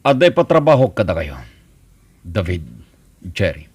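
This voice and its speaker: A man playing a calculating, commanding crime boss in an Ilocano drama, husky-voiced, deliberate and authoritative. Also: low-pitched, very animated, dry recording, heavily acted. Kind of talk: Ilocano radio-style drama acting.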